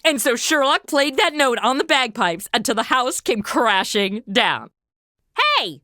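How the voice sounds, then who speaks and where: Brash, teasing young comedy heroine, high-pitched, swinging intonation, exclamatory. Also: female voice, big pitch swings, measured pace, bright, forceful tone, dry recording, clean studio sound, soft background music, heavily acted. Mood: brash, chatty. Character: brash, excitable, wisecracking young woman. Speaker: show's lead and host. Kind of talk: comedy audio drama acting and narration.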